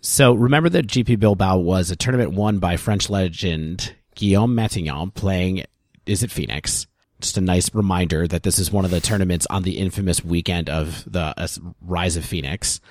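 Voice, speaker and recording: A man's voice: low-pitched, a little mellow, dry recording, clean studio sound. A man co-hosting a podcast, casually talking through data at length in a thoughtful way. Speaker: podcast co-host.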